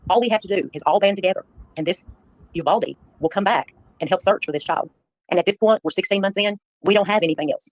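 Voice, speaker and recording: A woman's voice: mid-range voice, fast, no echo. A woman with a mid-range voice hosts a livestream and speaks earnestly to her viewers. Her delivery halts and picks back up as she goes. The audio is dull and lo-fi. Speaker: live stream host.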